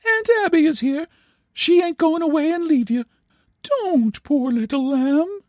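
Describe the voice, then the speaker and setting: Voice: high-pitched, expressive, measured pace, darkish tone, no echo, clean studio sound, voice pushed up. A reader voicing a frail, exhausted older woman who soothes and fusses in rural dialect. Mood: soothing. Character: frail, worn-out older woman, gently fussing.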